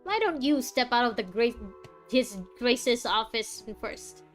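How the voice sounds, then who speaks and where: A woman's voice: a little high, animated, unhurried, soft background music. A woman streaming a game with casual, sarcastic commentary, talking back to the characters.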